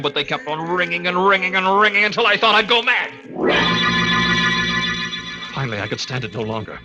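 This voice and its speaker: A man acts out a tormented, murderous man on the edge of madness. The delivery is 1940s radio melodrama with very animated pitch, from hushed to frantic. Clear music plays behind the old broadcast. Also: close to an everyday voice. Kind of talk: 1940s radio drama acting.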